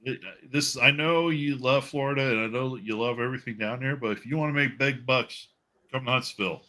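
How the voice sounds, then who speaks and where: A man with a very bright, forceful voice of middling pitch hosts a casual livestream. He rambles and halts as he chats over a video call, often in a joking tone. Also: brisk. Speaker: livestream host. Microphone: video call stream.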